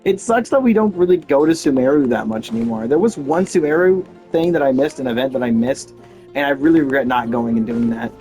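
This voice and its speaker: A man gives casual game commentary in a mid-pitched voice. He rambles informally and wanders into tangents, with faint music behind him. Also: animated, a little fast, mellow. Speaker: game streamer.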